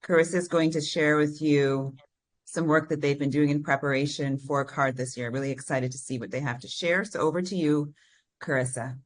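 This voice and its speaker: Low-voiced woman moderating a webinar. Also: fairly even intonation, moderate pace. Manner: warm thanks, introduces speakers.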